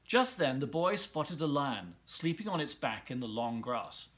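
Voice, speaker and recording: Middle-aged man, children's story reader: bright, forceful tone, very lively intonation. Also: mid-range voice, measured pace, slight background noise. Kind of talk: animated children's storybook reading. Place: living room at home, indoors.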